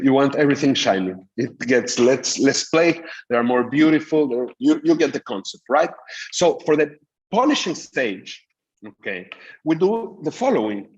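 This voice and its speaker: Halting middle-aged man teaching a class. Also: mid-range voice, highs cut off. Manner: explanatory, halting with frequent fillers. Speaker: dental lecturer. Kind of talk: recorded master class lecture.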